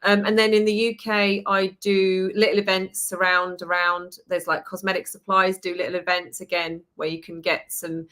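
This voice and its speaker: English woman guest, bright and chatty, rambling storyteller. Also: on the low side, moderate pace, few pauses. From United Kingdom. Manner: chatty, lively storytelling with laughs. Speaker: guest, permanent makeup trainer. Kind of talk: casual podcast chat.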